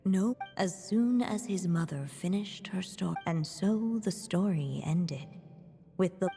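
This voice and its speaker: A woman voicing a solemn storybook narrator in a video game, measured and grave. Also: fairly low pitch, warm tone, faint music behind. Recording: long hall reverb.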